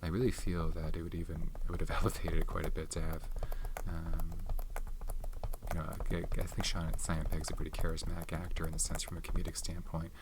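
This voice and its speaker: Very deep soft-spoken man, rambling. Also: level tone. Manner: rambling, unhurried, searches for names aloud. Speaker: ASMR channel host. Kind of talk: soft-spoken ASMR movie chat. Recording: audible background noise with keyboard and tapping clicks. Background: tapping and typing clicks.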